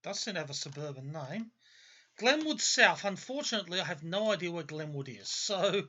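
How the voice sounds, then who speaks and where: Aussie guy doing gameplay commentary, rambly thinking out loud, bright voice, kinda lo-fi mic. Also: fairly high pitch, lively intonation, brisk. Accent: Australian. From Australia, grew up in Melbourne. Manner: discursive, self-correcting, qualifies his statements. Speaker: host of a gaming video series.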